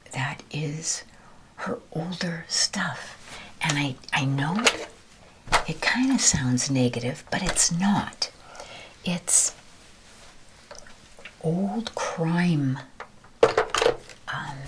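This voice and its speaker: A woman whispering a rambling ASMR chat while ironing, her voice with a deeper timbre. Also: background noise. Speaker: ASMR creator. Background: ironing noises.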